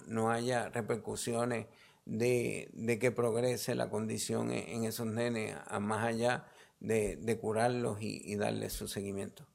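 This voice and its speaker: Male health official, Puerto Rican accent, creaky mid-range voice, explanatory press-briefing answers with halting restarts. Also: medium pitch, a little mellow. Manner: halting, repeats words mid-sentence.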